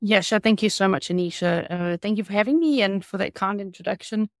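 A middle-aged woman with a South African accent, telling her story as an interview guest over a video call, with many hesitant pauses. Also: medium pitch, no echo, clean studio sound. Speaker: guest, patient advocate. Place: home room.

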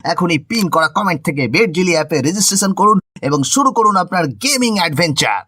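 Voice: mid-range voice, expressive, fairly quick, pushed and bright, slightly rough, no echo. An announcer reading a scripted app advertisement in an upbeat promotional style.

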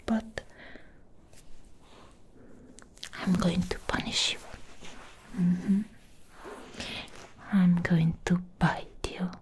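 Woman playing a slow, whispering, obsessive vampire ex-girlfriend. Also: medium pitch, a little mellow, close to an everyday voice. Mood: teasing menace. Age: young adult. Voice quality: breathy whisper. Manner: slow, lingering, intimate, cooing. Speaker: ASMR roleplay performer. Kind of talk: soft-spoken whispered ASMR roleplay. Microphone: close mic, intimate.

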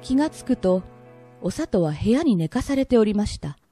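A woman's voice: mid-range voice, sing-song, normal speed, dark tone, faint music behind. A woman narrating a period drama in a polite storytelling style.

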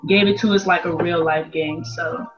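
A young American woman answers interview questions casually, as a student athlete would. Her voice is on the low side. It comes through a dull, lo-fi video call with music underneath. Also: fairly even intonation, moderate pace. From Las Vegas, United States. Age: young adult, about 18. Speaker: college basketball player being interviewed. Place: indoor room, on video call.

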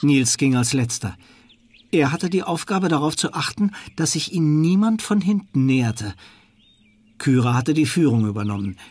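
A man's voice: mid-range voice, darkish tone, slightly rough. A man narrating a suspenseful children's audio drama with dramatic scripted storytelling. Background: music.

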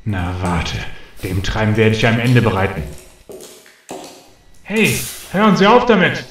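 A man's voice: on the low side, wildly swooping pitch, a little slow, fairly bright tone, heavily acted. An actor playing a grumpy, bossy night watchman in a German audio drama, grumbling and scolding. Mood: weary grumbling. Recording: roomy, distant, with ambient noise.

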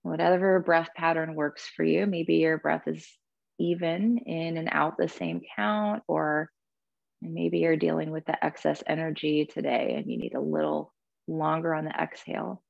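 Low, creaky-voiced woman guiding yoga. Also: fairly even intonation, dry recording, highs cut off. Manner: soothing, gentle, unhurried instruction. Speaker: yoga and meditation teacher. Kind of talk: guided yoga class with breathing cues.

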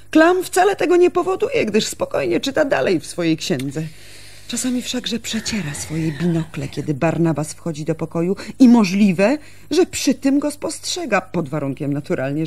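Husky, intense village woman. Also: fairly low pitch, a little mellow. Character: earnest, brooding village woman, intense storyteller. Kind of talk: radio play acting.

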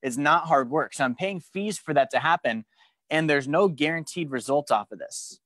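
A man's voice: fairly high pitch, normal speed, no echo. A young man teaching a live finance course in a studio, talking animatedly and often stopping to ask the room questions. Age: young adult. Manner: animated, gestures at a screen while teaching; frequent restarts and questions to the room. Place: studio with a branded backdrop and a large screen.

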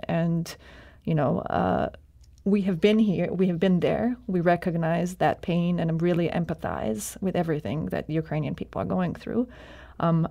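Mellow-voiced woman center director. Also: on the low side. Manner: measured, earnest, with fillers. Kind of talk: radio interview.

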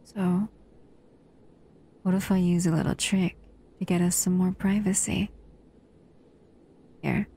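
A woman voicing a doting, seductive female shadow monster in an intimate ASMR roleplay, breathy and soothing, often whispering. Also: fairly low pitch, steady tone, slow pace, long pauses, warm tone, heavily acted, poor audio. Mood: hushed, conspiratorial.

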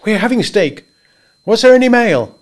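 A man voicing a calm male household cook who speaks plainly and matter-of-factly. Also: high voice, moderate pace, voice pushed up. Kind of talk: audiobook character voice.

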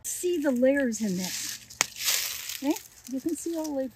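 A woman's voice: high-pitched, lively intonation, a little slow, background noise. An older woman guiding a casual walking tour of her woodland outdoors, explaining what she observes, recorded by a camera a few meters away. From Canada. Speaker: woodlot owner giving a tour. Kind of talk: casual guided walk. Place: autumn forest outdoors.

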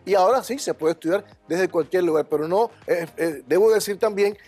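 A man's voice: high voice, fairly quick, darkish tone, breathy edge. An older man speaking as a language school director in a TV studio interview, with an emphatic, confident, instructive way of talking. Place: TV studio set.

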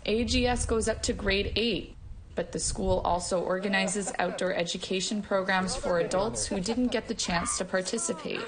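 A woman narrating a scripted news report in an even, measured way. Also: mid-range voice, crowd noise, poor audio.

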